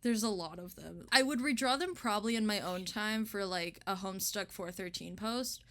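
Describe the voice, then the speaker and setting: A woman's voice: mid-range voice, normal speed, a bit bright. A young woman in a casual interview, talking as an illustrator in an informal, slangy, giggly way.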